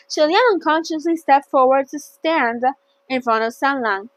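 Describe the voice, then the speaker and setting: A woman's voice: sits high, a little slow, no room sound, poor audio. A woman hosting a casual, chatty read-along of a novel, playful and often stumbling and correcting herself as she reads. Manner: playful, stumbles and self-corrects when reading. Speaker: host reading a novel aloud.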